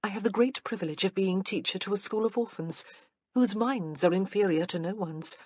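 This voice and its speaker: A woman's voice: medium pitch, brisk, darkish tone, no room sound, voice pushed up, close to an everyday voice. A woman voicing an earnest, dignified young woman teacher in an audiobook.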